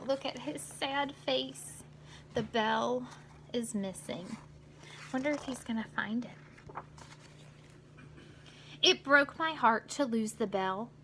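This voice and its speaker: A woman's voice: fairly high pitch, sharp tone, slight background noise. A woman reading a children's storybook aloud as a teacher, expressively, with little asides to young listeners about the pictures.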